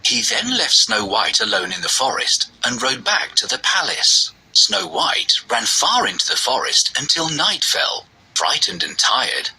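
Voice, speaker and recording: A man narrating a scripted children's story with a raspy, creaky voice. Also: mid-range voice, pushed and bright, rough recording.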